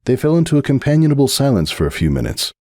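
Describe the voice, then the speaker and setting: A man's voice: medium pitch, sing-song, fast, darkish tone, dry recording, clean studio sound. A man reading a story aloud as its narrator, in a scripted, dramatic storytelling style.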